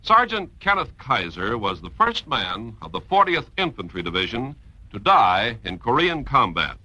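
A male announcer with a very bright, forceful voice and animated pitch. He reads formal, declamatory patriotic narration in 1950s radio style. The sound is dry and close. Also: normal speed, no room sound, poor audio.

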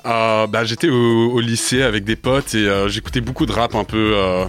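A man's voice: lowish voice, fairly even intonation, normal speed, fairly bright tone. A man in his twenties, a native English speaker, answering a radio interview in a studio with many hesitations and fillers. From France. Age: 20s. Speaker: rapper guest.

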